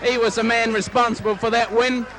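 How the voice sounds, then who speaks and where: A man's voice: very high-pitched, steady tone, a little fast, fairly bright tone. A plain-spoken man, chatting as co-commentator at a local rugby league match. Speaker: co-commentator, club member. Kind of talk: casual sports commentary chat. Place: outdoor grandstand at a suburban oval. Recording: old amateur sports recording with background noise.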